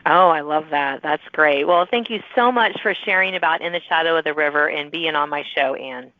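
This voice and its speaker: A woman's voice: low voice, fairly quick, no echo. A woman hosting a casual, chatty podcast interview with authors in an enthusiastic, encouraging manner. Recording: thin phone-like sound.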